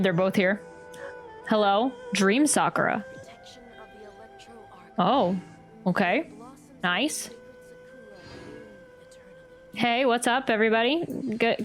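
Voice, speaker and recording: A woman's voice: medium pitch, slow pace, pauses often, soft background music. A woman streaming a game, reacting in casual, chatty, exclamatory commentary.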